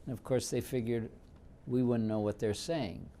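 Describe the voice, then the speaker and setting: A man in his seventies from Detroit, whose first language was Yiddish, recalling his past in an unhurried oral history interview with frequent fillers. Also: medium pitch, fairly even intonation, dark tone, slight background noise. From Detroit, United States. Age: 70s.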